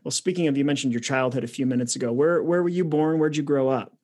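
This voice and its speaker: A man's voice: medium pitch, fast talker, a little mellow. A man hosting an interview in a casual, conversational style. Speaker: interviewer, host.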